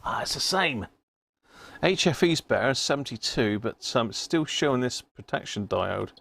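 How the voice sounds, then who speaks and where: A man's voice: mid-range voice, expressive, moderate pace, vocal fry, fairly bright tone. A man hosting a casual hobby livestream, chatting matily and muttering to himself as he works at an electronics bench. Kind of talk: casual live chat while working at a bench.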